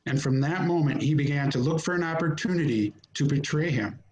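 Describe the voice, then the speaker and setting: Guy narrating a bible story, voice a bit high, recorded on a video call. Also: brisk, a little mellow, rough recording. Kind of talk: scripted scripture narration.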